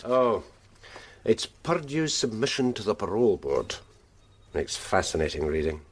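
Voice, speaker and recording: An actor playing a sardonic, matter-of-fact police detective in a radio drama. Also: male voice, fairly low pitch, big pitch swings, a little slow, background noise. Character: knowing, sardonic male Glasgow detective.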